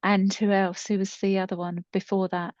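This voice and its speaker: A woman's voice: mid-range voice, little pitch movement, normal speed, darkish tone, no echo, lo-fi sound. A middle-aged woman co-hosting a podcast in casual, unscripted chat, explaining things conversationally. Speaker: podcast co-host and storytelling creator.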